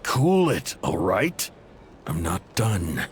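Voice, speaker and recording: A man's voice: sits low, huge pitch swings, slow pace, a little hiss or hum, heavily acted. A man playing a proud, indebted intel broker in a video game, blunt, casual and guarded, with an exasperated edge.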